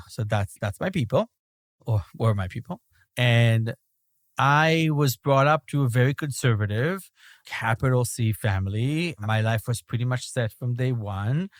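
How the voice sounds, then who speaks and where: A man's voice: mid-range voice, animated, moderate pace, no echo, clean studio sound. A man from Belgium with a Belgian accent, a rabbi talking as a podcast guest in a discursive way, often checking in with "right?". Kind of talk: reflective conversational interview.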